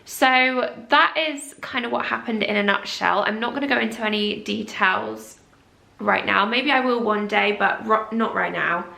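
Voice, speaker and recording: Chatty woman, bright and gravelly. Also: mid-range voice, normal speed. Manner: chatty, rambling, apologetic. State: congested with a cold. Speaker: lifestyle vlogger. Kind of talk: casual chat to camera.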